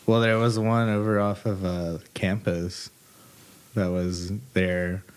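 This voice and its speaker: A man's voice: sits low, flat delivery, slow pace, clear, resonant tone. A male chef guest on a podcast, talking in casual chat with rambling, filler-heavy sentences. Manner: rambling, many fillers and laughs.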